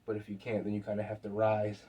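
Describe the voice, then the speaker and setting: A young man with a sick, scratchy voice and a sore throat, talking casually through his workout in a rambling, relaxed way with fillers. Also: fairly low pitch, quick pace, slightly rough. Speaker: fitness vlogger. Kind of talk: casual workout vlog talk. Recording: distant mic with faint background noise.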